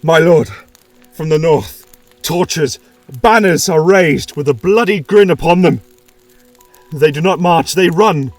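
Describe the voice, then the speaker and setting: A man's voice: on the high side, takes it slowly, pauses often, dry recording, soft background music, voice pushed up. A man playing a panicked young guardsman. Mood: alarmed. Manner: urgent, shouting alarm. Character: frightened young male guardsman, urgent and dutiful. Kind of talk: audio drama reading.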